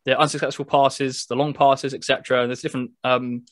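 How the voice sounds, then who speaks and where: A man's voice: mid-range voice, little pitch movement, a little fast, no room sound, studio quality. A man co-hosting a gaming podcast, chatting casually and explaining things step by step. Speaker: podcast co-host reviewing a video game. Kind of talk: casual chat.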